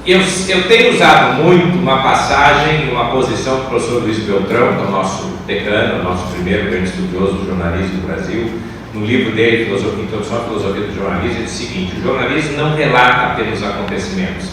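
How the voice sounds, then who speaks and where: A man's voice: lowish voice, fast talker. A Brazilian man, a professor on a panel, explaining his points emphatically and didactically. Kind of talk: panel discussion answer. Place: large echoing room at a university event. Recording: very echoey room, distant mic, clear noise.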